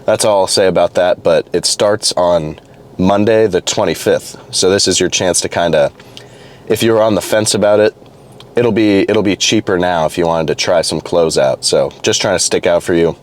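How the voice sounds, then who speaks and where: A young man vlogging casually to camera, a little hoarse and congested from a cold, rambling loosely with restarts and fillers. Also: low-pitched, normal speed. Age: young adult. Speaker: fitness vlogger. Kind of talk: casual vlog talk to camera.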